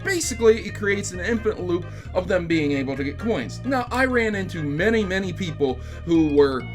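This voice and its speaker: A man's voice: sits high, lively intonation, a little fast, over music. A man hosting a video game news show, giving casual, emphatic commentary with rambling asides and addressing his audience directly. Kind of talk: casual scripted-topic news commentary to camera.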